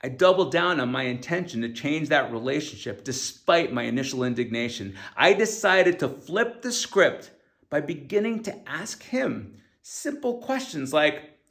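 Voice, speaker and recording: A man reads his own first-person memoir as scripted audiobook narration, in an earnest, deliberate manner with emphatic stresses. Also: fairly high pitch, expressive, fairly bright tone. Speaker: author reading own audiobook.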